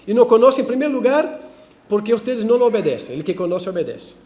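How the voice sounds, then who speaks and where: Man, pastor, raised pitch with a soft, mellow timbre; lively church preaching with restarts and irony. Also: brisk. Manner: animated preaching with frequent restarts and ironic asides. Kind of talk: expository sermon.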